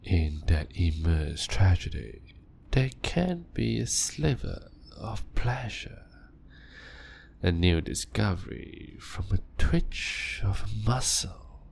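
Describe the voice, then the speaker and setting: A man playing a deep, gravelly ancient vampire doctor. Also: big pitch swings, a little hiss or hum, exaggerated voice. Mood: calm, clinical. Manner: soft-spoken and measured, often whispering. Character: ancient male vampire doctor, calm, clinical, gently controlling. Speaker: voice actor in audio roleplay. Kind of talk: audio roleplay.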